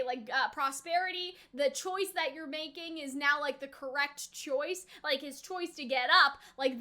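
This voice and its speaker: A woman's voice: sits high, bright, forceful tone. A woman giving casual reaction commentary in a chatty, rambling way, peppering her sentences with "like". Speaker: anime reaction host.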